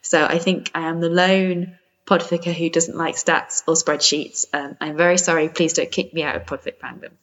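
A woman's voice: sits low, flat delivery, normal speed, fairly bright tone. A woman leaving casual, wry feedback for a podcast as a listener. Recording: dull lo-fi microphone sound.

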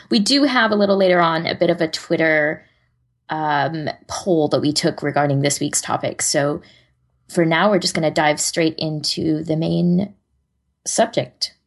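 A woman co-hosting a podcast in casual chat, informal and encouraging. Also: fairly low pitch. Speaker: podcast co-host.

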